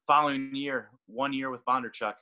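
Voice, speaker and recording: Bright-voiced stammering man. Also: mid-range voice, steady tone, brisk, highs cut off. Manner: stammering, repeats words, laughs easily. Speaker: throwing coach. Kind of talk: casual podcast chat.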